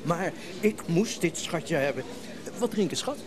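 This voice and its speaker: An actor playing a delighted, polite and effusive well-off collector in a radio play. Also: male voice, fairly high pitch, expressive, a little slow. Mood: delighted, proud. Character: eager, delighted, well-off married male art buyer. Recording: busy crowd behind.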